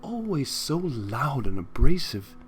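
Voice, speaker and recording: A man's voice: mid-range voice, big pitch swings, slow pace, a little hiss or hum. A man voicing a sweet, shy, naive young female pegasus pony in a story reading, timid and hesitant. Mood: naive wonder.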